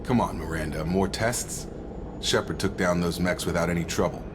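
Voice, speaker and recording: An actor playing a loyal male operative who talks in a relaxed, informal and supportive way. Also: sits low, moderate pace, noisy background, natural voice. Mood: earnest. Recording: game audio with music score.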